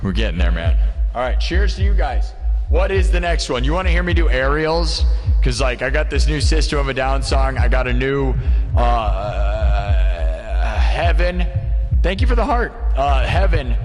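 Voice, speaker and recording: A man's voice: medium pitch, sing-song, unhurried, a little mellow. A man from Des Moines, Iowa, hosting a live music stream, chatting casually and rambling as he talks to viewers. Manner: upbeat and rambling, keeps asking viewers "right?". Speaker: livestream host and live-looping musician. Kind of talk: casual livestream chat to viewers. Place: home music studio, indoors.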